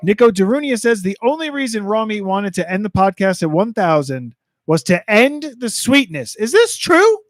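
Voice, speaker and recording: A man's voice: sits high, very animated, normal speed, no echo. A man co-hosting a video game podcast, joking around playfully in casual chat. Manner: playful, jokey. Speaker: podcast co-host.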